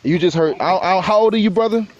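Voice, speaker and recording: A man's voice: high-pitched, quick pace, muffled recording, a little hiss or hum. A man in his late twenties on a live stream panel, explaining his views earnestly with examples and frequent restarts. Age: 20s. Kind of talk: casual panel talk. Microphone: live stream call.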